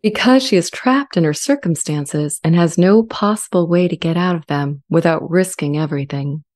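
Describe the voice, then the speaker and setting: Earnest AI character acted by a woman, low mellow register, measured and articulate, scripted drama. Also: close to an everyday voice. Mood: thoughtful. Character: earnest, friendly, self-aware artificial intelligence language model. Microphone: studio condenser mic with pop filter, close.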